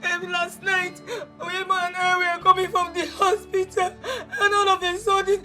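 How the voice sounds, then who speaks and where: A woman plays a desperate young woman, begging her uncle through tears and breaking into shouts. Her voice sits very high. Music plays softly behind her. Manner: crying, pleading, shouting. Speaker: actor.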